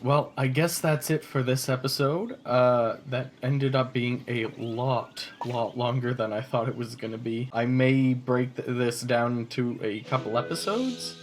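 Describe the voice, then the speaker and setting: A woman hosting a gaming video with casual commentary, talking in a halting, informal way. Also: a bass voice, a little hiss or hum. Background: music behind the voice.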